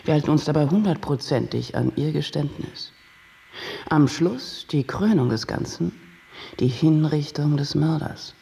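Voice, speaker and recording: An actor playing a pushy, grandiose and excitable TV producer in a German radio play. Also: male voice, unhurried, gravelly. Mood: grandiose pitching. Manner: grandiose sales-pitch talk, emphatic. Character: slick sensationalist TV producer, pushy, easily panicked man.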